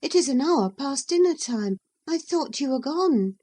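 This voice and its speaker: A woman voicing a peevish high-pitched young lady. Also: lively intonation, measured pace, warm tone. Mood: evasive. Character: petulant, irritable young woman.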